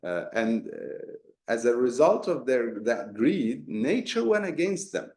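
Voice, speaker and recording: Gravelly-voiced man teaching a Quran lesson. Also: medium pitch, sing-song, takes it slowly, a little mellow. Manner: earnest, explains step by step with rhetorical questions; mixes Arabic terms into talk. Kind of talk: explanatory religious lesson. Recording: echoey room.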